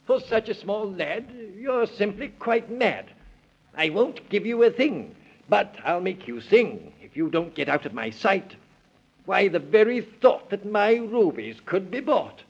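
A man playing a mean old miser in a 1930s children's radio serial, scoffing in curt, mock-polite rhymes with a husky voice. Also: mid-range voice, big pitch swings, measured pace, heavily acted. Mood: scornful. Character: mean, dismissive old miser guarding his rubies. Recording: muffled 1930s radio recording.

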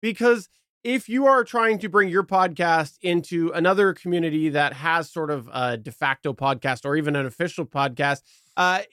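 A man hosting a podcast discussion, explaining points in a measured, methodical and tentative way. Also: on the high side, a bit bright, dry recording, studio quality. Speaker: podcast host.

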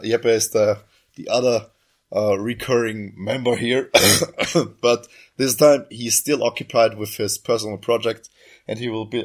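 A man hosting a casual podcast while sick, coughing now and then, his voice just back, rambling and often correcting himself mid-sentence. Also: mid-range voice. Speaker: podcast host.

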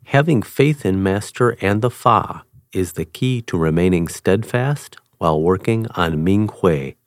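A man with a fairly low voice reads a first-person article aloud from a script. His delivery is measured and even. The sound is close and dry, with no room around it. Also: no room sound. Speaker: reader.

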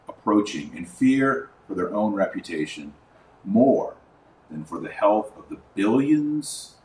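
Low-voiced guy doing podcast commentary, emphatic and folksy, echoey room, bit far from the mic. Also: a little mellow. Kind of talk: live podcast commentary mixed with reading aloud. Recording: roomy, distant mic, faint background noise.

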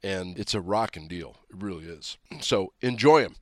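A man hosting a political talk radio show, sounding nasal, congested and raspy from a cold, talking in a folksy, emphatic style. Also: low-pitched, animated, moderate pace, no echo, clean studio sound.

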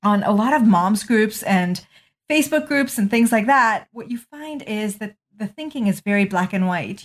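Articulate woman doctor, middle-aged. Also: mid-range voice. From Malawi. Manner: articulate, with frequent ums. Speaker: pediatrician guest. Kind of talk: interview answers.

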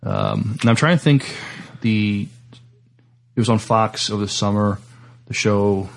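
A man co-hosting a podcast, chatting casually and reading entertainment news in a halting, stammering way. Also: on the low side. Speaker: podcast co-host.

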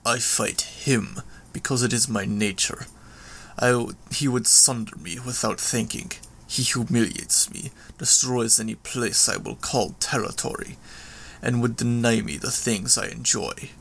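A man voicing a proud, brutal supervillain with clawed hands, speaking in growled, halting phrases in an audiobook. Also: mid-range voice, creaky, fairly bright tone, a little hiss or hum. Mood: proud, menacing. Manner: growling, halting, clipped foreign-sounding phrasing.

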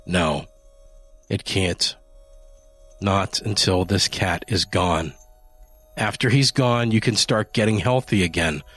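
A man voicing a calm, controlling husband who speaks in a measured, quiet tone. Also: sits low, slightly rough, dry recording, faint music behind, exaggerated voice. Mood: cold calm.

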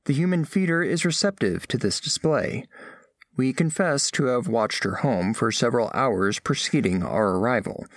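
Man voicing a formal alien scholar, lively intonation. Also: mid-range voice, no room sound, clean studio sound. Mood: formal, measured. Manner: formal, precise, deliberate diction. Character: formal, studious alien scholar reporting on a diplomatic mission. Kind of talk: scripted first-person narration, audio fiction.

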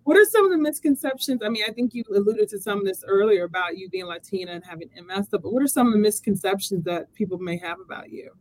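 A woman's voice: mid-range voice, animated, fast talker, hardly any pauses, a little mellow, rough recording. A woman from Texas hosting an interview, curious and asking long winding questions. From Texas, USA. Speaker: podcast host. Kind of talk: casual interview talk.

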